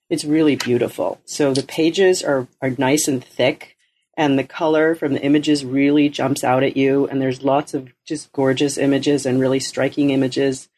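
A woman's voice: low voice, mellow. A woman hosting an interview podcast, reading an introduction and then asking conversational questions. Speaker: podcast host.

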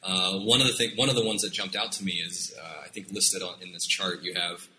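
A man's voice: low-pitched, fairly even intonation, a little fast, sharp tone, a little hiss or hum. A man interviewing a guest, asking his questions hesitantly with frequent restarts.

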